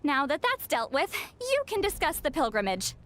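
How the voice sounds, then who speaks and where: An actress playing a proud, easily flustered young female shaman in a video game, expressive and huffy. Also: high voice, moderate pace, heavily acted. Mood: huffy, sleepy. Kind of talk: scripted video game dialogue acting.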